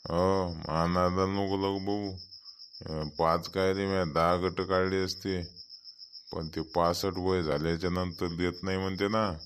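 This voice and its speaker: A man's voice: deep voice, flat delivery, unhurried, slight background noise. A man playing a wryly boastful village elder over sixty-five in a comic cartoon, drawing out his words with a Vidarbha Marathi accent.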